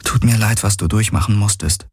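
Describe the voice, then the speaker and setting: A man's voice: on the low side, brisk, darkish tone, breathy edge, no echo, studio quality. A man voicing an earnest, resilient and worried woman space traveller in a dramatised audiobook, speaking emphatically. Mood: concerned.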